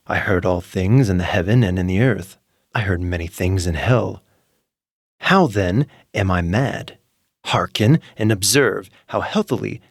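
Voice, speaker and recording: Gothic horror monologue: man playing nervous murderer insisting he is sane, lowish voice, very dry recording, emphatic and deliberate. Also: slightly rough, dry recording, heavily acted. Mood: nervous, defensive. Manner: theatrical, deliberate, emphatic pauses and repetitions. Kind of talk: dramatic Gothic horror story narration.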